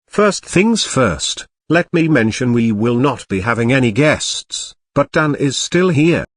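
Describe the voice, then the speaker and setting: Lively male show host, close dry sound. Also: medium pitch, measured pace, no room sound. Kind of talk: scripted talk show hosting.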